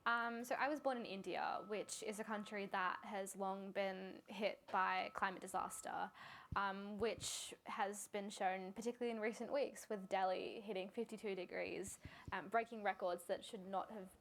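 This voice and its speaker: A woman's voice: medium pitch, fairly even intonation, normal speed, fairly bright tone. A twenty-year-old woman, answering fluently and earnestly as a campaigner on a panel. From Australia. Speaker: youth climate campaigner, panellist.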